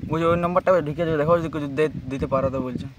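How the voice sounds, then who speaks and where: A man's voice: fairly high pitch, steady tone, racing. A man with a Purulia Bengali accent making an insistent, pleading prank call in casual conversation. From Purulia, West Bengal, India. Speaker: prank caller. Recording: very noisy recorded phone call.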